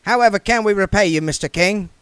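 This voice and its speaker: A man voicing a stubborn dwarf, emphatic and practical, high-pitched, dry recording. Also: fairly bright tone, smooth, no echo. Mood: hurried. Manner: emphatic, hurried.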